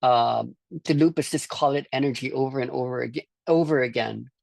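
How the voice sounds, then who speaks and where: Creaky voice from the philippines, thoughtful group leader, halting and repeating words, dry close sound. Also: mid-range voice, a little mellow, no room sound. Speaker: course leader. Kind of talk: reflective group discussion talk.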